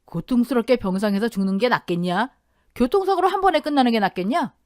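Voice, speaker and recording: A woman's voice: a little high, expressive, fast, no room sound, clean studio sound, close to an everyday voice. A woman voicing a cruel older stepfather who mocks and taunts in a radio drama. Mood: cold sneering. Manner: mocking, taunting.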